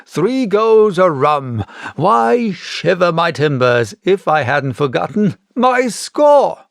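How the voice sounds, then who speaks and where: A man's voice: high-pitched, big pitch swings, slow, gravelly, no echo, clean studio sound, voice pushed up, heavily acted. A man voicing a boisterous one-legged old sailor and tavern keeper in salty, exclamatory seafaring dialect for an audiobook. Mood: exclaiming. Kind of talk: audiobook character voice.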